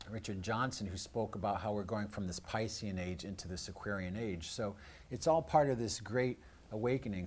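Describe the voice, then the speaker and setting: A man presenting a live talk show as host, in a hesitant, rambling way. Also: lowish voice. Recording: clearly noisy live-stream room sound.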